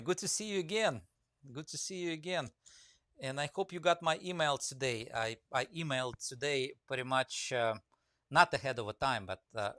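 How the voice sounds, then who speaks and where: A man's voice: mid-range voice, a bit bright, no room sound, clean studio sound. A man with a Russian accent chatting casually on a live stream, greeting viewers by name and rambling with lots of fillers. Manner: rambling, many fillers, reads comments aloud. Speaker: woodcarving teacher live-streaming.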